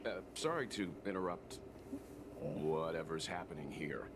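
Composed, low-voiced gentleman. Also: animated, full character voice. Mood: calm, polite. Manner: measured, courteous. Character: composed, polite mature gentleman, dutiful crewmate. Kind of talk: video game voice acting. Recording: game audio with clear background noise.

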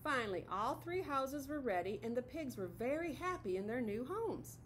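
A woman's voice: high voice, big pitch swings, moderate pace, slight background noise. A middle-aged woman teacher reading a picture book aloud expressively to children, recorded in a classroom. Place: classroom, indoors.

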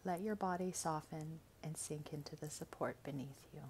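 A woman reading a scripted guided meditation in a gentle, soothing, measured way, with soft, breathy phrase endings. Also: low voice, a little hiss or hum.

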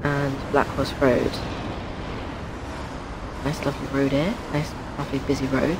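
A man's voice: medium pitch, little pitch movement, unhurried, very noisy. Stuffy-nosed young man rambling over a game. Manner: rambling, offhand, chatty. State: blocked nose, stuffy. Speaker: game streamer. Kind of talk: casual gameplay commentary.

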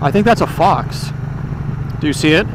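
A man with a slightly high-pitched voice hosts a casual motovlog. He talks informally into a helmet mic as he rides outdoors, with clear wind and engine noise around his voice. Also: expressive, moderate pace. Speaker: motovlog host. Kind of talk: casual vlog chat. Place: outdoors, riding a motorcycle on public roads. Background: wind, engine and road noise.

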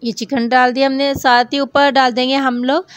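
A woman with a Pakistani Urdu accent, hosting a home cooking channel, calmly explaining each recipe step in a voice-over. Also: sits high, normal speed, smooth, no room sound. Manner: calm, repeats each step.

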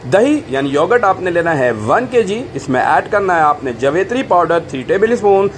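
A man's voice: sits high, lively intonation, normal speed. A man hosting a cooking lesson, explaining a recipe step by step and mixing in English kitchen words. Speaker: host of a cooking recipe video. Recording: clear background noise, cooking ambience.

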